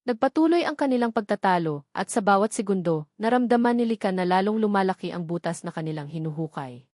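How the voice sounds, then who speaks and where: A woman's voice: medium pitch, lively intonation, fast talker, darkish tone, dry recording. Female storyteller, Filipino accent, scripted romantic drama narration, mid-range voice in a dry, close recording.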